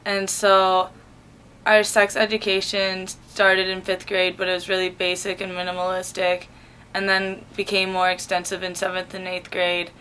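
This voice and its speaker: An eighteen-year-old woman from Michigan answering interview questions in a measured, articulate way. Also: mid-range voice, completely flat delivery, pushed and bright, slight background noise, low-quality recording. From Michigan, USA. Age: young adult. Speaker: college student interviewee.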